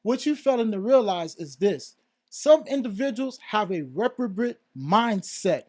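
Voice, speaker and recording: A man's voice: high voice, sing-song, measured pace, darkish tone. A man from South Florida with an American accent, debating heatedly and insistently on a podcast, often cutting in and calling the others bro. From South Florida, United States. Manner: argumentative, insistent, interrupting, repeatedly addressing others as bro. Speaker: podcast panelist.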